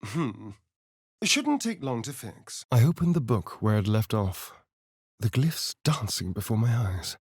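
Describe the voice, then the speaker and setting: Sardonic vampire rogue, game character, very expressive pitch, arch drawl, dry close recording. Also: male voice, measured pace, slightly rough, dry recording, exaggerated voice. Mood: wary, reflective. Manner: theatrical, arch, drawling, with dramatic swells and hushes. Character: suave, sardonic, theatrical male vampire rogue. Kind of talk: video game character voice lines.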